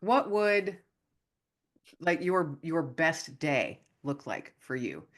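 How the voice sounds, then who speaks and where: Middle-aged woman podcast host, lowish voice, warm casual chat, frequent laughter. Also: big pitch swings, slow. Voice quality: low voice, naturally raspy when singing. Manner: chatty, laughs often, lots of restarts. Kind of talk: casual conversational interview.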